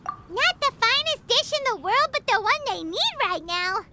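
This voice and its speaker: A little floating fairy sidekick from a video game. The voice is extremely high, squeaky and childlike, bouncing around with excitable energy over noticeable noise. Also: female voice, moderate pace. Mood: puzzled. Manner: talks of self in third person.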